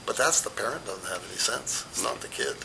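A man's voice: animated, a little fast, creaky. An older man in his sixties or above chatting casually in an interview, rambling and plain-spoken, repeating himself as he goes. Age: 60s or older. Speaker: mall Santa Claus being interviewed. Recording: rumbling noisy background.

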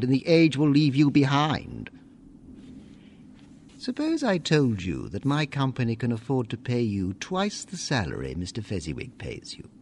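A man's voice: medium pitch, a little mellow, a little hiss or hum. An actor playing an ambitious, persuasive young businessman, formal and insinuating, in a radio drama. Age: young adult. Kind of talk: family radio drama acting.

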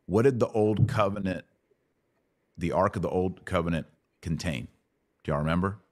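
A middle-aged man with a low-pitched voice hosts a live Bible Q&A podcast. He teaches in a conversational, didactic way and puts questions to his listeners as he explains. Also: normal speed, darkish tone. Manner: didactic, asks checking questions.

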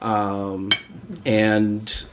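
A man's voice: fairly low pitch, monotone, extremely slow, background noise. Man chatting in a rambling way. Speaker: voice actor on a round-table. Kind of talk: casual group discussion.

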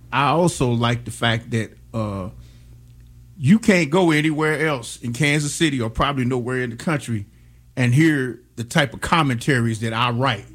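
An American man volunteering at a community radio station, speaking earnestly and plainly with frequent pauses. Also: mid-range voice. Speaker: station volunteer and commentary writer. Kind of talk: casual on-air chat.

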